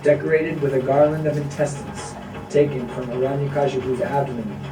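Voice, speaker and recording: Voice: medium pitch, little pitch movement, a little fast. Echoey, distant devotional story narrator. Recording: echoey, distant, music behind.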